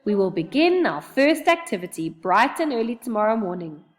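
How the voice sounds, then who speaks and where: A woman's voice: mid-range voice, sing-song, moderate pace, in a large hall, natural voice. A woman voicing a stern, impatient female alien camp counselor in a storybook, curt and no-nonsense. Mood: brisk.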